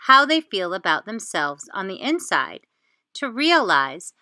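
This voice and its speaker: A woman teacher presenting a step-by-step lesson for children, with deliberate, encouraging delivery. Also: medium pitch, big pitch swings, bright, forceful tone. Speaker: teacher presenting an educational show.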